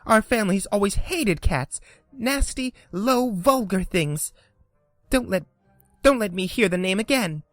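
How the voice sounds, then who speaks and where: A reader voicing a small, shrill and passionate mouse in a storybook reading. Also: animated, a little slow, fairly bright tone, no room sound, studio quality, faint music behind. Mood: furious. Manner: passionate, trembling.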